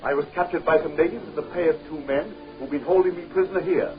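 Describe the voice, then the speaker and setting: A man's voice: on the high side, quick pace, natural voice. An actor playing an earnest middle-aged scientist and father, rescued from captivity, in a 1930s film serial. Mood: anxious, earnest. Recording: dull 1930s film soundtrack, faint music.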